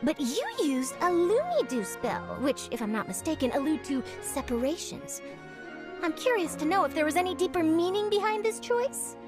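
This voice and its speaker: A woman voicing an excitable, eager young female journalist in a video game, gushing and inquisitive in her delivery. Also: very high-pitched, moderate pace, over music. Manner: gushing, wide-eyed, inquisitive, animated. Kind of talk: English video game voice acting.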